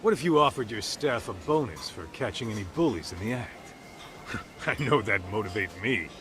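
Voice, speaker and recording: A man's voice: lowish voice, expressive, moderate pace, background noise, lightly acted. An actor playing a cocky, joking tough-guy detective partner in a video game dub, blunt and slangy.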